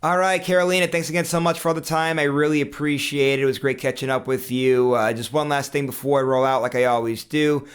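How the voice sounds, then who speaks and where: A man's voice: medium pitch, flat delivery, fast, few pauses, smooth. A man hosting an interview, asking long, rambling questions full of fillers. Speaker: interview host.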